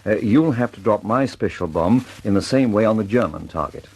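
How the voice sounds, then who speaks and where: Casting note: a fussy, worried older inventor with a low, husky voice, vintage radio play. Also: male voice, expressive, brisk, a little mellow. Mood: fretful. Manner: fussy, anxious. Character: older male bomb inventor, fretful, fussy. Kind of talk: 1940s radio acting.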